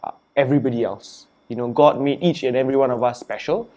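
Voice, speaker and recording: A young man from Singapore talks easily as an interview guest, his voice sitting at an ordinary middle pitch. He is relaxed and chatty, leaning on "you know" and "man" as he tells his story. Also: fast. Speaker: interview guest, author and entrepreneur. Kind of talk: casual interview conversation.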